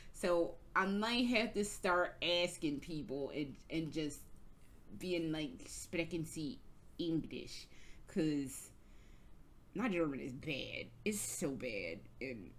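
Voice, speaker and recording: Casting: American woman vlogger, casual and rambling, swears freely, lots of "like"s, restarts sentences. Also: lowish voice. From United States. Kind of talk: casual storytelling vlog. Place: indoors, travelling in Germany.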